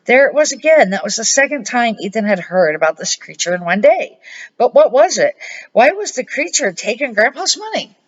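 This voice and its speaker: A woman's voice: a little high, sing-song, normal speed. An older woman reading a children's storybook aloud as an amateur narrator. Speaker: amateur storybook reader, a grandmother. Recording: dull lo-fi home recording.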